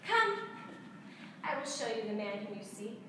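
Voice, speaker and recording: A woman's voice: on the high side, big pitch swings, measured pace. A woman playing a cunning, coaxing tent-dwelling wife in a dramatized Bible recitation, with a lilting delivery. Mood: beckoning. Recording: roomy, distant mic, faint noise.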